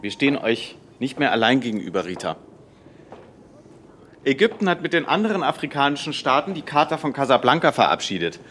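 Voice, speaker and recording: An actor playing a proud, idealistic young Egyptian engineer in a radio drama. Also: male voice, medium pitch, big pitch swings, fairly quick, fairly bright tone, slight background noise. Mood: fervent, political.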